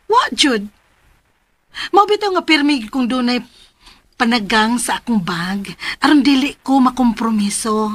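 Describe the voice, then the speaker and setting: High-voiced, teasing young Filipina. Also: female voice, expressive, unhurried, long pauses, a bit bright, dry recording. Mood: teasing, coy. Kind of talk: Filipino radio drama acting.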